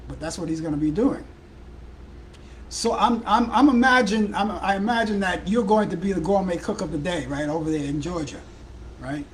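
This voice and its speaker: A man from Brooklyn with a Brooklyn accent hosting a live talk show, chatting casually in a hoarse, worn-out voice. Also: on the high side, slight background noise, low-quality recording. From Brooklyn, New York. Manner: rambling, chatty, addresses viewers and callers by name.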